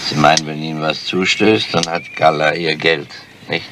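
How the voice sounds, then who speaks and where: An actor playing a dogged, weary police inspector in a crime radio play, speaking in a gruff, terse manner. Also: male voice, rumbling low, little pitch movement, normal speed. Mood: weary, businesslike. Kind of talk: crime radio drama acting. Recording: noisy old radio play.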